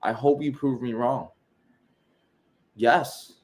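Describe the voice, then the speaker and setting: A man's voice: mid-range voice, slow. A man with a New York accent co-hosting a live Q&A, blunt and emphatic, slangy. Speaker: co-host of a live coaching Q&A. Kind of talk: casual live-stream chat.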